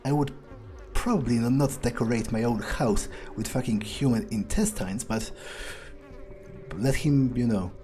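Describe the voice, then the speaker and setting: A man plays an ambitious, restless vampire thinking out loud during a video game. His voice is mellow and medium in pitch, and he talks slowly and haltingly, with profanity mixed in. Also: a little hiss or hum, natural voice. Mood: wryly musing. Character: proud, ambitious male vampire, restless and power-hungry. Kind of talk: improvised in-character gameplay monologue.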